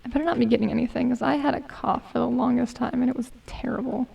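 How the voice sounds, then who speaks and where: Hoarse, gravelly young woman gamer. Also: fairly high pitch, fairly even intonation, fast, darkish tone, distant mic, muffled recording. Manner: chatty, self-mocking, hums and mutters to herself. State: losing her voice, hoarse. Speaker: gaming streamer. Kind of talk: casual gameplay commentary.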